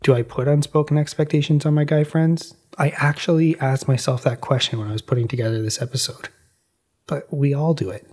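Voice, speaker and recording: A man hosting a podcast, narrating in a measured, reflective and personal way. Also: fairly high pitch, steady tone, a little mellow, faint music behind. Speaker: podcast host.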